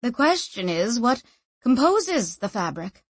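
Woman playing an earnest, high-voiced young female scholar. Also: big pitch swings, unhurried, husky, dry recording, full character voice. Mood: curious. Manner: wondering, questioning.

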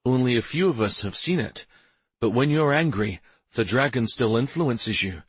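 A man reads as a loyal companion to a fantasy prince. The voice is mid-pitched, husky and expressive, with an earnest, measured and concerned frankness. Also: dry recording. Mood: frank, concerned.

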